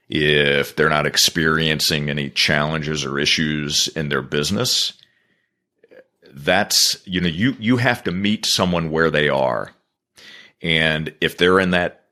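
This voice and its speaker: A man answering as a guest on a business podcast, earnest and measured, building his points in repeated phrases. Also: very deep voice, sharp tone.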